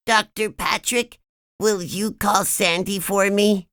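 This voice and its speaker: Woman doing a squeaky sniffly sea sponge boy. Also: fairly even intonation, takes it slowly, a bit bright, dry recording, clean studio sound. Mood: sick, pleading. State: sniffly with a cold. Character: cheerful young male sea sponge, eager. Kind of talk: storybook read-aloud.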